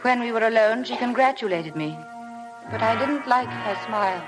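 An actress playing a shy, devoted young bride in 1950s radio drama style, polite, hesitant and self-effacing. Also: female voice, fairly high pitch, lively intonation, normal speed, lightly acted, rough recording. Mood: reflective narrating. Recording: vintage radio broadcast with music.

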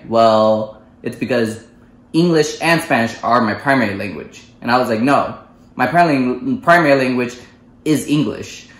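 A man in his thirties from the United States, telling a personal story to camera with many fillers and restarts. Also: medium pitch. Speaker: vlogger. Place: small living room, indoors. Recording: echoey room.

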